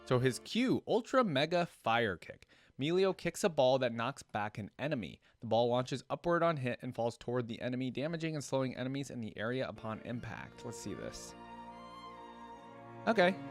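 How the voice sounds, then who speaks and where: A man hosting a reaction video, commenting casually, reading things out and talking directly to his viewers. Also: mid-range voice. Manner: conversational, addresses viewers directly. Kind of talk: casual commentary, reading on-screen text aloud.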